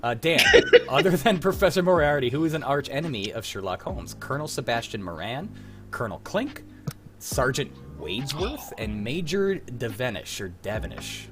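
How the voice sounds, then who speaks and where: A man's voice: mid-range voice, very animated, normal speed, music in the background. A young man hosting a casual trivia game with friends on a livestream, playful and teasing. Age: young adult. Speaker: quiz host.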